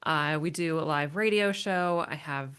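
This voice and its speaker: A woman's voice: deep voice, a little slow, fairly bright tone, clean tone. A woman in her forties, a podcast guest, talking in long reflective runs in a casual interview. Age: 40s.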